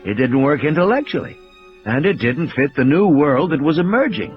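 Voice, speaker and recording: A man's voice: fairly high pitch, animated, normal speed, close to an everyday voice. A man voicing an intense, ailing male scientist who lectures emphatically and grimly in an audio play. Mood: dismissive, grave. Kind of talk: audiobook character dialogue. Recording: dull lo-fi audio, music behind.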